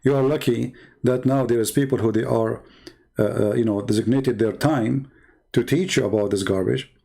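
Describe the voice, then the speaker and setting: A man's voice: mid-range voice, normal speed, vocal fry, warm tone. A middle-aged man from the Middle East whose first language is Arabic, hosting a live-stream lecture in an emphatic, repetitive way and throwing rhetorical questions at his viewers.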